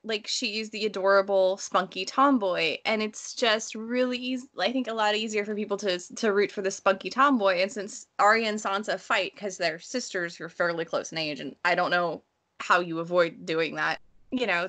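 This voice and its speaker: A woman talking casually and bluntly in an informal book discussion, telling stories in an animated way. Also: mid-range voice. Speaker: book read-along panelist. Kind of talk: casual discussion, personal anecdotes.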